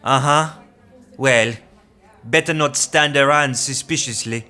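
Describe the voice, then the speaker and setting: A man's voice: mid-range voice, slow pace, bright, forceful tone. Man playing a dry, sly female coworker. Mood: knowing.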